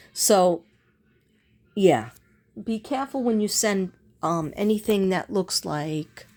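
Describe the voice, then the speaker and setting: A woman talking casually to viewers in an unhurried, meandering way, thinking aloud as she goes. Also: mid-range voice, darkish tone. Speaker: psychic reader and vlogger. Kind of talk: casual rambling vlog talk to viewers.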